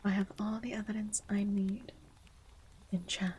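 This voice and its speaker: A woman in a low, feminine register, speaking almost entirely in soft unvoiced whispers. Her tone is mellow and her pitch stays very level. She chats and reads aloud in a steady, sleepy ASMR style. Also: unhurried, a little hiss or hum. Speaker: streamer. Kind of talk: whispered ASMR chat and legend reading.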